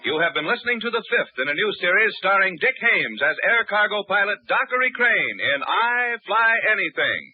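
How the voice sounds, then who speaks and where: Crisp formal male radio announcer. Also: high voice, heavy background noise. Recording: tinny old radio broadcast with music.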